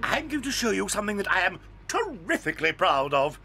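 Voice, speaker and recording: An actor playing an eccentric, excitable male chocolate factory owner, playful and theatrical, in a studio audio drama. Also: sits high, fairly quick, gravelly, crowd noise, exaggerated voice. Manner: playful, theatrical, bursts into rhyming chants.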